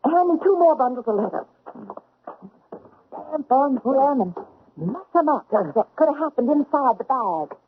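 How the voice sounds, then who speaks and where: An actress playing a shrewd, scolding frontier widow postmistress in a radio drama, commanding and folksy. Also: female voice, high voice, expressive, unhurried, long pauses, slight background noise. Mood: brisk, commanding. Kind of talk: old-time radio drama acting. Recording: muffled old radio drama recording.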